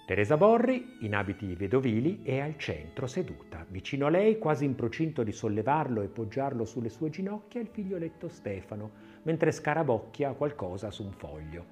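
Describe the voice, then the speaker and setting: A man narrating an audiobook in a scripted, expressive literary reading style, with measured phrasing and dramatic emphasis. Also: mid-range voice, soft background music.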